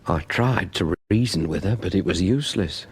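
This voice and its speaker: An actor playing a middle-aged put-upon husband in a British radio drama, earnest and exasperated, with a British accent. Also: male voice, low voice, moderate pace, breathy edge, heavily acted. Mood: recounting. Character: put-upon beekeeping husband, recounting events with grievance. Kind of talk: radio drama acting and first-person narration.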